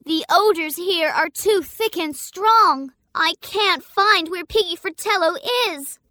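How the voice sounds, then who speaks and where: High-voiced helpful robot puppy. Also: female voice, slow pace, bright, forceful tone, no echo, studio quality, exaggerated voice. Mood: concerned. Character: small helpful robot puppy, calm and reassuring. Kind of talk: children's animated story acting.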